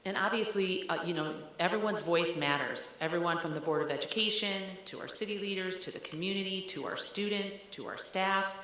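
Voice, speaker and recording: A woman's voice: on the low side, brisk, few pauses, fairly bright tone. A woman speaking candidly and conversationally as a school district leader at a public meeting. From Ohio, United States. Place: large meeting room. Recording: very echoey room with hiss.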